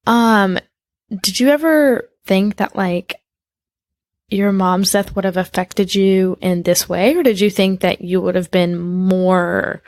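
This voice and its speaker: A woman's voice: lowish voice, no echo, clean studio sound. Chatty woman podcast host. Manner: chatty, rambling questions with fillers. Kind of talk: casual conversational interview.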